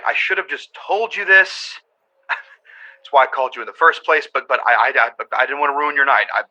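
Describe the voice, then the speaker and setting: An actor in a radio drama playing a caring older brother who halts and stutters on the phone. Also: male voice, mid-range voice, bright, forceful tone, dull audio. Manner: halting, stuttering.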